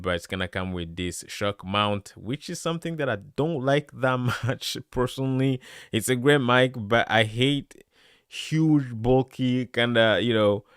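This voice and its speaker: Deep bassy guy rambling excitedly on a live stream, dry close mic, super clean. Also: clean tone, dry recording. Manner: chatty, excitable, many fillers. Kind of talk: casual live stream product review. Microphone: studio dynamic microphone close up.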